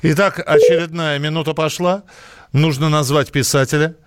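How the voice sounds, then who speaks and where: A man's voice: a little high, fairly even intonation, moderate pace, clear, resonant tone. A man co-hosting a live radio quiz in a chatty way, carefully reading out numbers digit by digit. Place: close dry setup for a home radio broadcast.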